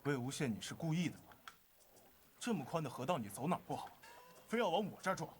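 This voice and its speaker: An actor playing a haughty, irritable young noble heir in an animated drama, curt and disdainful. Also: male voice, a little high, fast talker, husky, exaggerated voice. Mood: irritated.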